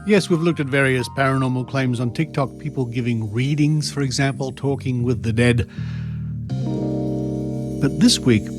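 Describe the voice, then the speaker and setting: A man with an Australian accent hosting a podcast in a conversational monologue, wry and musing, with deliberate pauses for emphasis. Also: mid-range voice, over loud music. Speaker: podcast host.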